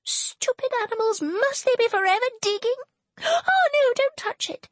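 A woman's voice: extremely high pitch, animated, moderate pace, no room sound, voice pushed up. A woman voicing a petulant, melodramatic young society lady who wails and gives orders. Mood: wailing. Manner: whiny, imperious, melodramatic.

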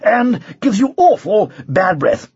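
Man acting posh storybook knight, high-pitched, cheery, light conversational manner, very husky, dry sound. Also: very animated, takes it slowly, darkish tone, dry recording, lo-fi sound, heavily acted.

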